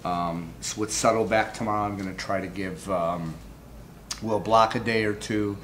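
A middle-aged man answering post-game questions as a baseball manager, informal and halting. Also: fairly low pitch, steady tone, a bit bright. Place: indoor press backdrop room. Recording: clearly noisy room background.

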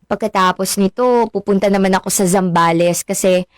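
An actress playing a friendly, modest young marine biologist in a radio drama, with a Filipino accent and a conversational delivery. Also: female voice, mid-range voice, level tone, a little fast, no room sound, studio quality, close to an everyday voice. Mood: casual.

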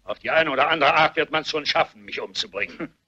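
A man's voice: on the high side, expressive, fast, sharp tone, slightly rough, dull audio, heavily acted. An older actor playing a cantankerous, miserly grandfather who grumbles and barks sarcastically in a radio play. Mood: sour, suspicious. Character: cantankerous, miserly old grandfather, suspicious invalid tyrant. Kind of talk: German radio play acting.

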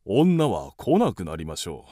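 A man's voice: sits low, huge pitch swings, a little slow, dark tone, no room sound, studio quality, heavily acted. A man playing a grave, reassuring ascetic healer in a radio drama, with solemn, formal archaic speech. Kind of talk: Japanese radio drama acting.

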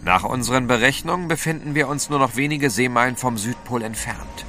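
An actor playing a resolute, protective gentleman adventurer in a radio play, assured and commanding. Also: medium pitch, a little fast. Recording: noisy ship ambience effects.

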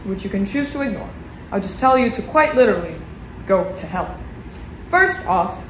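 A woman's voice: mid-range voice, slight background noise, natural voice. A woman performing a comic monologue as a sarcastic, devilish flight attendant with a mock-polite announcement delivery. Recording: echoey room.

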